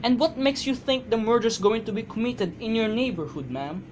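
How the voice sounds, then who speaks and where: A woman's voice: on the high side, moderate pace, a little mellow, lightly acted. A woman playing a weary, dismissive police desk sergeant in an amateur radio drama, brusque and patronizing. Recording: faint background noise.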